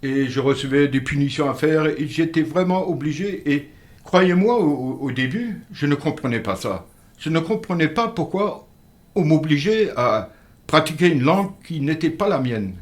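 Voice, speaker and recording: An older man, a native dialect speaker, telling village history in a radio interview in an earnest, measured way. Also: on the high side, animated. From Moselle, France. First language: Alsatian-Lorraine Franconian dialect. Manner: earnest, measured storyteller with halting restarts. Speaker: interview guest. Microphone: studio microphone.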